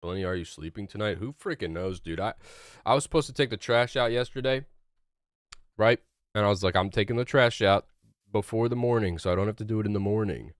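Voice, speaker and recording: A man's voice: on the low side, brisk, no echo, clean studio sound. A young man streaming a video game, chatting casually with viewers in a rambling, laid-back way full of fillers. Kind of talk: casual livestream chat while gaming.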